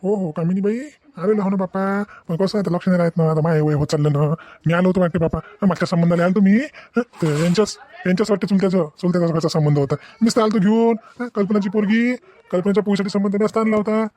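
A man's voice: high voice, fairly even intonation, fast talker, mellow, lightly acted. A man voicing a genial, forgetful ageing village guruji in a cartoon comedy, folksy and repetitive, with a rural Vidarbha Marathi accent. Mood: apologetic, agreeable. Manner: agreeable, folksy, repeats himself.

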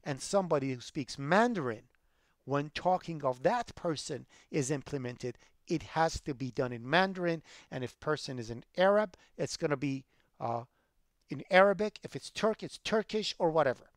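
A middle-aged man, a college programming instructor lecturing online over a headset, emphatic and rising loud when he makes a point. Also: on the high side, expressive, moderate pace, no echo, studio quality. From Iran. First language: Persian.

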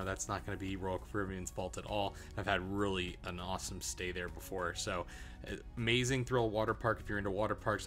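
A young man in a relaxed, chatty travel review, talking casually with frequent "uh" and "um" fillers. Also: fairly low pitch. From Arizona, USA. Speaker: travel vlogger.

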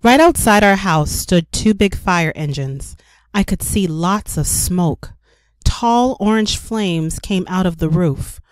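A woman's voice: lowish voice, slow pace, dry recording. Woman reading a picture book aloud, dry sound. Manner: careful, expressive storytelling for young children. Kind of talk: scripted read-aloud narration.

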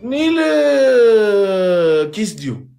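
A young Ethiopian man in his twenties, a native Amharic speaker, bantering loudly in broken English with many hesitations during a livestream battle, recorded on a phone in a small indoor room. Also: sits high, very animated, very slow, vocal fry, faint music behind. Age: 20s. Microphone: phone selfie camera.

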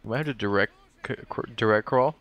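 A man's voice: lowish voice, quick pace, lo-fi sound. A man chatting casually as he plays a video game with friends, teasing them and swearing. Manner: teasing, profane. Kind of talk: casual gaming banter with friends.

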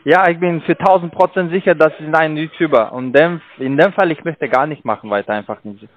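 A man with a foreign accent in German, a call-centre agent arguing on the phone in a halting, insistent way. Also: fairly high pitch, highs cut off. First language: not German. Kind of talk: unscripted phone sales argument. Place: on a telephone line.

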